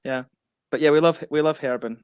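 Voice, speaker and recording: A man's voice: on the high side, steady tone, brisk, smooth, no echo, clean studio sound. A man co-hosting a casual beer livestream, joking around, laughing often and reading out viewer comments, sounding tired. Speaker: co-host of a beer review livestream.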